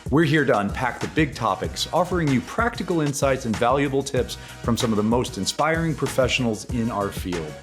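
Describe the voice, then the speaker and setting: Male announcer, lively delivery, intro with music underneath. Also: mid-range voice. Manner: polished promotional read. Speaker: podcast announcer. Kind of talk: scripted show intro. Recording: voice-over with music bed.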